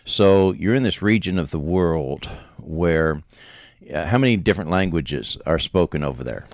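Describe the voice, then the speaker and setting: A man's voice: sits low, animated, moderate pace, no room sound. A man hosting a religious radio talk show, speaking in a rambling, folksy way with many fillers. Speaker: radio host. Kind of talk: radio interview. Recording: dull, dry studio sound.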